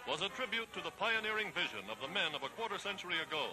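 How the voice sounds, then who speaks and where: A man's voice: high-pitched, very animated, quick pace. A man narrates a wartime newsreel in a formal, declamatory announcer style, on a vintage 1940s film soundtrack. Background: loud orchestral newsreel music.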